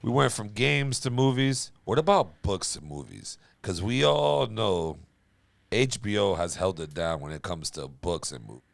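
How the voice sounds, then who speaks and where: Gravelly, animated man on a podcast. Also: fairly low pitch, a little slow, dry recording. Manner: slangy, profane, informal. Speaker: podcast co-host. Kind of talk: casual chat.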